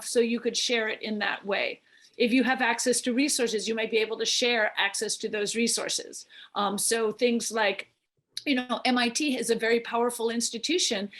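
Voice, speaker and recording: A woman's voice: on the high side, fairly bright tone. A woman facilitating an online workshop discussion from a room on a video call. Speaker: workshop leader.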